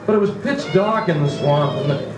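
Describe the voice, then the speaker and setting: A man's voice: on the high side, sing-song, fairly quick. An actor playing an earnest country man who narrates his boyhood dramatically in a 1940s radio drama. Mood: desperate. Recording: roomy 1940s record. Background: music.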